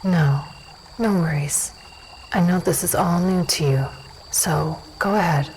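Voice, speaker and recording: A woman's voice: on the low side, measured pace, darkish tone, a little hiss or hum, lightly acted, poor audio. A woman playing a self-assured dusk elf warrior in a fantasy audio drama, hospitable yet probing, composed and matter-of-fact.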